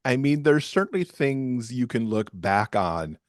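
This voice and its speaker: A man's voice: medium pitch, animated, unhurried, no room sound, clean studio sound. A man chatting casually as a podcast guest, talkative and easy to laugh. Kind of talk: casual conversational interview.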